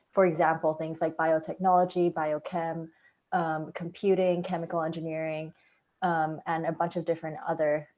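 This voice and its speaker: A woman's voice: low-pitched, a little mellow. A young woman from Hong Kong, a student panelist, explaining things in a webinar with frequent ums. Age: young adult. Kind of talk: webinar presentation.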